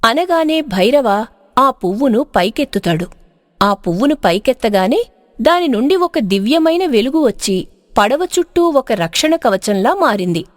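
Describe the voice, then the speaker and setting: A woman's voice: on the high side, very animated, normal speed, no echo, studio quality. A woman narrating a scripted moral story in a dramatic storytelling style.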